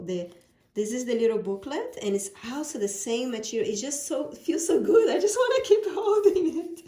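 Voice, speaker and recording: Slow, gushing Brazilian woman. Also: mid-range voice, warm tone, roomy sound. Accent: Brazilian Portuguese. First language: Portuguese. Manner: gushing, repeats words of praise. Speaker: oracle deck creator. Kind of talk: product unboxing vlog.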